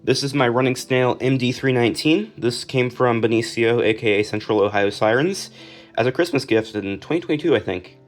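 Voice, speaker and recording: A young man with an American accent talks through items in a collection. His voice sits at an average pitch, and he speaks in an informal, matter-of-fact way, like a casual home presenter. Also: brisk, faint music behind. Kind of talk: casual collection showcase narration.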